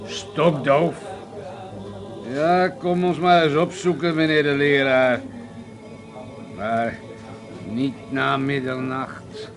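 An actor playing a crude, boozy, domineering older man in a Dutch radio play, gruff and mocking, given to swearing bluster. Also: mid-range voice, steady tone, takes it slowly, pauses often, music in the background. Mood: loud, jovial mockery.

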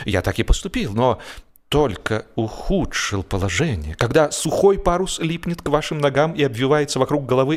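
A man narrating a humorous story expressively, theatrically, with deliberate pauses and stretched words. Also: medium pitch.

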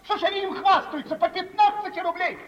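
An actor playing a touchy, vain suitor, declaiming with bitter sarcasm in an old Russian radio drama. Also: male voice, extremely high pitch, fairly quick, a bit bright, lo-fi sound, a little hiss or hum. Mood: scornful, mocking.

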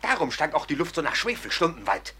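Gloating male bandit for radio drama: highish, very animated, theatrical. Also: fast talker, husky, exaggerated voice. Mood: gleeful boasting. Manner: gloating, swaggering. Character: boastful, gleefully wicked male bandit storyteller.

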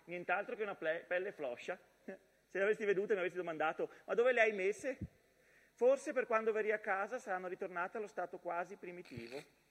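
A man's voice: high-pitched, a bit bright. A man voicing an affectionate, playful young mother reading out a letter to her husband on stage. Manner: tender, playful. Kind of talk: theatrical letter monologue. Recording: echoey hall with long reverb.

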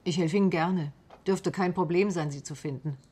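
Husky, composed private detective. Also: female voice, on the low side, normal speed, warm tone. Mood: helpful, calm. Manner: matter-of-fact, composed. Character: level-headed, pragmatic female private detective. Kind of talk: radio play acting in dialogue.

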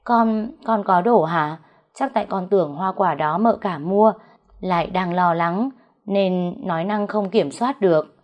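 A woman's voice: lowish voice, a little slow, a little mellow, natural voice. A woman voicing a scheming young pregnant woman who whines, stammers and pleads tearfully, in a story narration. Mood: nervous, evasive. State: crying.

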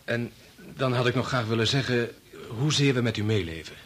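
A man's voice: mid-range voice, normal speed, fairly bright tone, natural voice. An actor in a Dutch radio play, playing a supportive male research colleague who is polite and formal. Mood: respectful.

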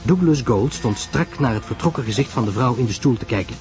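A man's voice: medium pitch, animated, brisk, a little mellow, soft background music. A man narrating a scripted story in a dramatic style.

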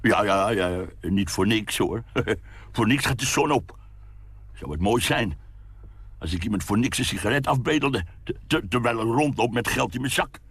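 A man's voice: on the low side, lively intonation, normal speed, fairly bright tone, slightly rough, dry recording, full character voice. A man voicing a rambling old pub cadger who stammers and repeats himself in a storybook reading. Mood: rambling, insistent. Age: older. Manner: stammering, repetitive, chuckling rambler.

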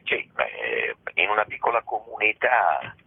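A man's voice: fairly low pitch, big pitch swings, a little slow, creaky, husky, no echo. A man, a theatre director and actor, talking as a radio interview guest and searching thoughtfully for his words as he explains. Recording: tinny phone line.

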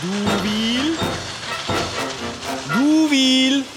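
An actor playing a train conductor in a radio drama, calling out stations in a drawn-out way. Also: male voice, extremely high pitch, over loud music, exaggerated voice, poor audio. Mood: announcing.

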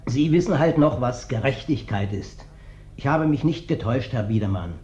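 An actor playing a sly, ingratiating vagrant guest in a German radio play, flattering and theatrical. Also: male voice, medium pitch, moderate pace, slight background noise, natural voice. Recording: dull, roomy old radio recording.

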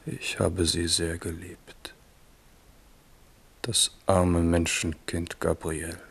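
An actor in a German radio drama playing a grieving, remorseful French king, speaking gravely and with measured, brooding weight. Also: low-pitched, level tone, fairly bright tone, full character voice. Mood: remorseful grief.

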